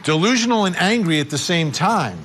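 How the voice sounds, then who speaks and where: A man hosting a live legal news interview, speaking in emphatic, deliberate phrases. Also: sits high, animated, fairly bright tone, slight background noise. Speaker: TV news host.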